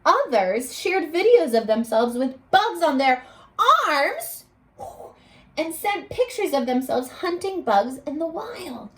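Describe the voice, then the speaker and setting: A woman teacher reading a picture book aloud to young children, expressively, pausing to put questions to them. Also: sits high. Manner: animated, addressing children with questions and pauses.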